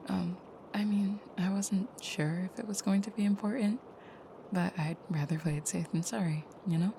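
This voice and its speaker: A woman playing a gentle, caring vampire girlfriend in an intimate audio roleplay, speaking softly and hesitantly, often near a whisper. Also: medium pitch, fairly even intonation, moderate pace, a little hiss or hum, lightly acted. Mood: tender, apologetic.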